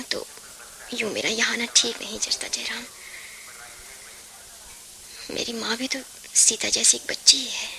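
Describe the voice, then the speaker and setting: Anguished young woman, breathy and bright. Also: on the high side, full character voice. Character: anguished, self-sacrificing young woman leaving the man she loves. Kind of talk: Hindi radio play acting.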